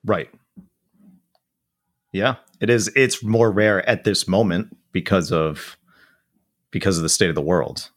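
A man in his thirties from the New York area, hosting a casual chat in a hoarse, raspy voice, rambling and correcting himself. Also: deep voice, measured pace, long pauses. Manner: rambling, hesitant, self-correcting. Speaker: podcast host.

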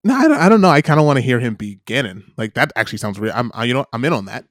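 A man's voice: mid-range voice, expressive, rapid-fire, no echo, studio quality. Laid-back rambling male podcast host. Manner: rambling, hedging, laughs often. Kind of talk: casual chat.

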